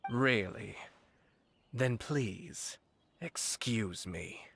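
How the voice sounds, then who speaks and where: A man's voice: on the low side, animated, creaky, fairly bright tone, a little hiss or hum, exaggerated voice. Composed, refined young nobleman. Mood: cold command. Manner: polite, measured, authoritative. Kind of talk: video game voice acting.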